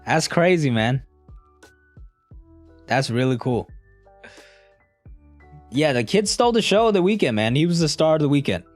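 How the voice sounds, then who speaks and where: A man's voice: fairly high pitch, sing-song, normal speed, pauses often, soft background music. A young man casually chatting with a live stream audience in a laid-back, slangy, rambling way. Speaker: streamer answering live chat.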